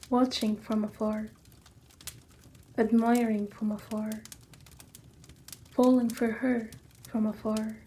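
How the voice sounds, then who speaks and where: Very slow, hushed woman telling a story. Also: a little high, a little hiss or hum. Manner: soft, hushed, drawn-out phrasing. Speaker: ASMR storyteller. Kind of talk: ASMR scripted storytelling narration.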